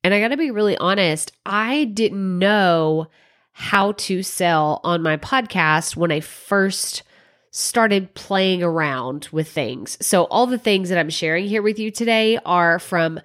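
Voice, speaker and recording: A woman's voice: mid-range voice, takes it slowly, a bit bright. A woman hosting her own podcast while congested from a cold, talking in a chatty, nasal, stuffy way. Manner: chatty, conversational, with fillers like "like" and "um". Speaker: podcast host. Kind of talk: solo podcast talk.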